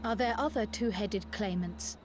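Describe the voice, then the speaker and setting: A woman playing a composed, inquisitive young scholar-adventurer in a video game, polite and measured. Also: medium pitch, over music, natural voice. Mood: curious.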